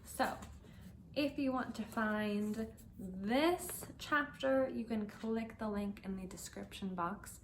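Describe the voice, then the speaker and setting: A woman teacher reading and talking to language learners, expressive and carefully enunciated. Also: mid-range voice, slow pace, a little hiss or hum. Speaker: host and reader, English teacher. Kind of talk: storybook read-aloud for language learners.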